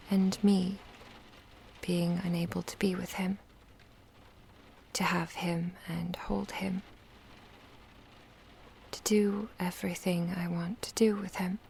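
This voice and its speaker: A woman playing a lovestruck, lonely witch in an ASMR roleplay, soft-spoken, whispery and seductive in a tender, intimate way. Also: on the low side, a little hiss or hum. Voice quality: breathy, whispery. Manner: slow, tender, intimate delivery.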